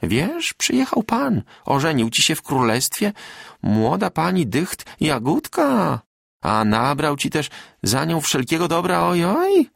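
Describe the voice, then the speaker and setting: A man acts out a good-hearted but bossy peasant woman from an audiobook tale. Her voice sits high and swings up and down, slightly husky, with rustic, breathless chatter full of exclamations. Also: expressive, moderate pace, no room sound, studio quality, exaggerated voice. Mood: excited, gushing. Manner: rustic dialect, exclamatory, garrulous. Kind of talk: literary audiobook character voice.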